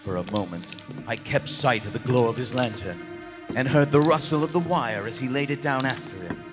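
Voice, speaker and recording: An actor playing a nervous, loyal gentleman who narrates a horror tale in formal, florid style for a live radio play. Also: mid-range voice, normal speed, close to an everyday voice. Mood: haunted, solemn. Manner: formal, florid, dramatic delivery. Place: on stage in a large theater. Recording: live theater hall reverb, music behind. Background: music.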